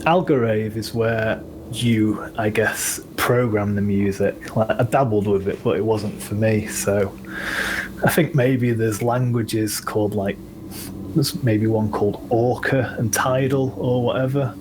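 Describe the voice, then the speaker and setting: Low-voiced Northern English man, rambling. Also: steady tone, a little slow. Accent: Northern English (Manchester). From England. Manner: low-key, rambling, many ums and you-knows. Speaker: guest musician. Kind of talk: casual podcast conversation. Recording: audible background noise.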